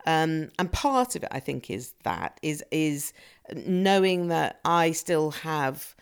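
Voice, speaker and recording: A middle-aged woman with a low voice and a gravelly edge of fry. She talks in a reflective, searching way and restarts her sentences as she works out what she means. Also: lively intonation, takes it slowly. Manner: thoughtful, self-searching, many restarts. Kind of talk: reflective casual conversation.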